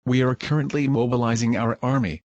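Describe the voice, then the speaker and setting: Flat synthetic man announcer. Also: medium pitch, brisk, no echo, muffled recording. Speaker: alert announcer.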